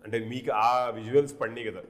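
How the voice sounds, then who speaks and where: A man's voice: mid-range voice, quick pace. A man, an actor, chatting, explaining things and slipping into English phrases. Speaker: actor being interviewed. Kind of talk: casual interview chat.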